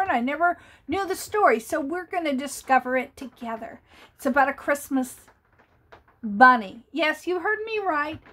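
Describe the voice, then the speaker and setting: A woman's voice: sits high, fairly bright tone. An older woman reading a picture book aloud to children, playful and chatty, greeting them and asking them questions. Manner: asks the young listeners questions. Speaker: storyteller for children.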